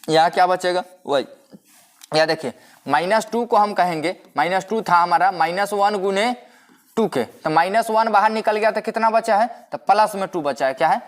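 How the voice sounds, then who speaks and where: A man's voice: high voice, normal speed. A man giving a maths lecture as a teacher, explaining step by step, repeating himself and posing rhetorical questions. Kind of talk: step-by-step classroom-style lecture.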